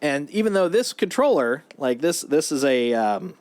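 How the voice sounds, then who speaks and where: A man's voice: fairly high pitch, sing-song, moderate pace, a little mellow. A man chatting casually and in a rambling way as he live streams a video game. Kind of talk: casual gaming stream chat.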